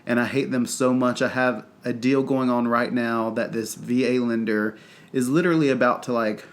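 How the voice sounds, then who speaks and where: A man's voice: mid-range voice, steady tone, normal speed. A man with a Southern US accent, congested and stuffy-nosed, chatting casually as a podcast co-host in a rambling way. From North Carolina, US. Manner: rambling, chatty, lots of fillers. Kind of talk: casual chat.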